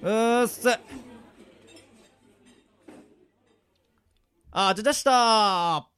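A man's voice: sits high, normal speed, fairly bright tone, smooth. A man playing a laid-back, blunt young coworker and friend in a radio drama, talking casually and in slang. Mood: casual, hurried. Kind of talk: amateur radio drama acting.

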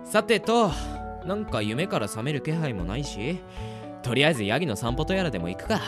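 Man acting animated, slangy bewildered boy. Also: on the high side, brisk, over music. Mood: musing. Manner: casual slangy muttering to himself, exaggerated reactions. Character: slangy cheeky boy, bewildered at waking as Peter. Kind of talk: hobby radio drama acting with self-talk monologue.

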